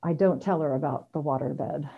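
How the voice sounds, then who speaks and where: A woman's voice: low-pitched, moderate pace, creaky, slightly rough. A middle-aged woman reading a personal story aloud over a video call, with a wry, self-deprecating manner. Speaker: amateur storyteller at a story slam. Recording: dull, lo-fi video call audio.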